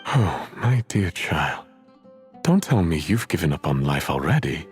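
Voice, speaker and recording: A gentle elder man from a video game. His voice is extremely deep and moves with lively swings in pitch. He speaks slowly and chides fondly, over faint music. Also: full character voice. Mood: fond, chiding. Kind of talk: video game dialogue acting.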